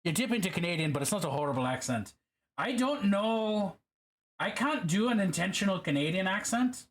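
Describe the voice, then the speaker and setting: A man with a high, bouncy voice chats on a live stream while he paints. He reads messages aloud in a loose, joking way. Now and then he drifts playfully into a mock Irish accent. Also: lively intonation, fast talker.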